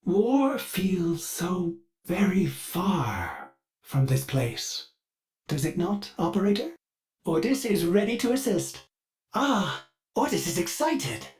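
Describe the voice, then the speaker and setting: An actor playing a polite, gentle male ship AI in a video game, talking about himself in the third person with dry, playful wit. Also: high-pitched, sing-song, full character voice. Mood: musing. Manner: wistful, slow musing delivery with playful asides. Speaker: ship's AI companion.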